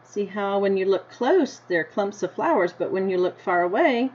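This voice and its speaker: An American woman teacher reading a picture book aloud to children, deliberately, with simple explanatory asides and questions to her listeners. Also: medium pitch, expressive, a little mellow. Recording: dull lo-fi sound with noticeable background noise.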